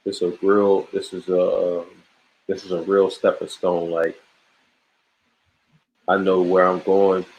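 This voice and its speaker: A man talking as an interview guest in a relaxed, emphatic way, full of slang, restarts and boasts. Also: sits low, a little slow, pauses often, poor audio. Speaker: podcast host, guest on the show. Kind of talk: casual interview chat.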